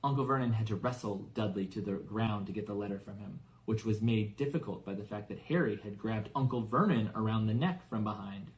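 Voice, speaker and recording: A man reading a children's novel aloud as expressive scripted narration. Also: lowish voice, fairly quick, few pauses, poor audio. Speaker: audiobook reader for English learners.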